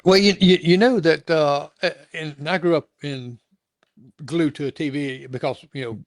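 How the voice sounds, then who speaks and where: A man's voice: on the high side, normal speed, no room sound. A middle-aged or older man hosting a casual interview, talking in a rambling, folksy way and stammering over repeated words. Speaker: show host interviewing a guest.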